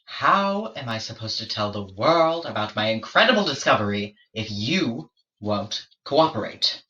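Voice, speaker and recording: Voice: medium pitch, sing-song, unhurried, sharp tone, recorded from a distance, dull audio. A storyteller voicing a grumpy, matter-of-fact platypus who keeps repeating itself impatiently. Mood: annoyed. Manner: exasperated, curt.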